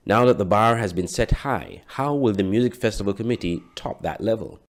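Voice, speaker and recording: A man delivers a scripted news report. His voice sits on the low side, and he reads as a reporter narrating a story. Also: fairly quick, soft background music.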